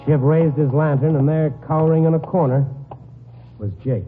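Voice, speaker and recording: An actor playing a wry, steady hard-boiled newspaperman who narrates a 1950s radio noir drama. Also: fairly high pitch, normal speed, music in the background, close to an everyday voice. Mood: tense, wry narrating. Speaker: narrator and lead character. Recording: narrow-band old 1950s radio broadcast recording.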